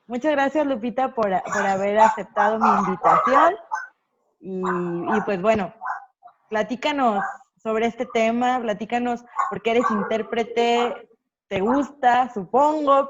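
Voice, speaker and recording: A woman's voice: a little high, a little slow, dull audio, background noise. A woman hosts a live-stream interview, introducing her guest in a friendly, upbeat way. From Mexico. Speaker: host.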